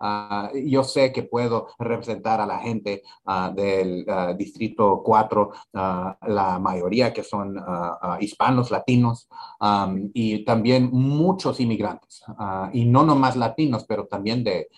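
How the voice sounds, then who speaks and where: A man. He answers questions as a council candidate. He talks haltingly and fills the gaps with "ah" and repeated words. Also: fairly low pitch. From Laredo, Texas. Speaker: council candidate, immigration attorney. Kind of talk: interview in Spanish.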